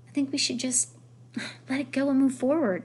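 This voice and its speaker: A woman playing a gentle, conciliatory mother in a novel reading, speaking quietly and close to tears. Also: high voice, fairly quick, husky, pitched above the performer's own voice. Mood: weary, conciliatory. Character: conciliatory, tearful mother, ready to back down.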